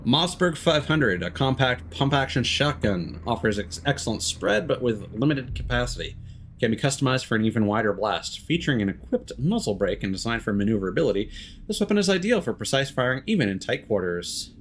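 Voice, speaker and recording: A man's voice: mid-range voice, big pitch swings, a little fast, slight background noise. A man doing live video game commentary, reading game text aloud and tossing in playful quips and wordplay. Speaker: streamer playing a video game.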